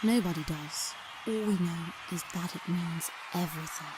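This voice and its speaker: A woman's voice: fairly low pitch, moderate pace, very noisy, close to an everyday voice. A woman playing a starstruck seventeen-year-old girl who narrates earnestly and dramatically in a fan-dubbed comic drama, with music playing. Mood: awed.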